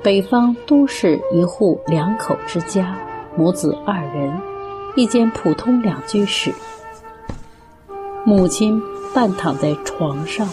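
A woman narrating a scripted radio drama in a measured storytelling manner. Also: medium pitch, lively intonation. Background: flute music.

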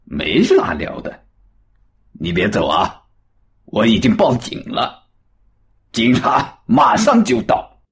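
A man's voice: mid-range voice, wildly swooping pitch, slow pace, creaky, long pauses, exaggerated voice. An actor playing a hostile, smug bald man who informs on others in a radio drama, sneering and taunting. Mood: defiant. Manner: belligerent, taunting.

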